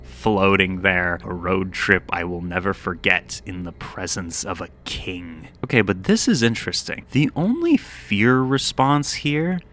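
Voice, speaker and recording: A man's voice: low-pitched, animated, measured pace, few pauses, fairly bright tone. A man giving video essay commentary, musing and dramatic, shifting between scripted narration and casual, wry asides.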